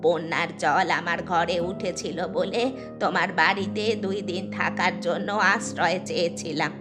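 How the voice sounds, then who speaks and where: A woman's voice: fairly low pitch, steady tone, a little slow, lightly acted. A woman playing a blunt village auntie in a moral-story cartoon, sarcastic and reproachful. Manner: scolding, sarcastic. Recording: music clearly behind the voice.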